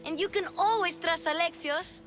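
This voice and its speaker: Plucky, high-voiced little girl. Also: moderate pace. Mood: eager, insistent. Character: plucky, eager, chatty young orphan girl. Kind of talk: video game cutscene acting. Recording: music behind the dialogue.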